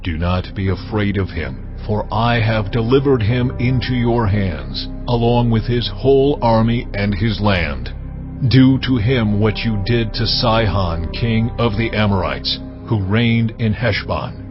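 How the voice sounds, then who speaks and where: Man as God, slow, solemn and commanding. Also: lowish voice, close to an everyday voice. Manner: solemn, measured, authoritative. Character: God, solemn, commanding, unhurried divine male voice. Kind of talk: dramatized audio Bible acting. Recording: muffled audio under prominent music.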